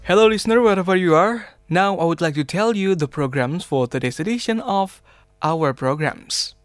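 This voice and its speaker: A man's voice: high-pitched, dry recording, studio quality. A man, a radio presenter reading a scripted program rundown.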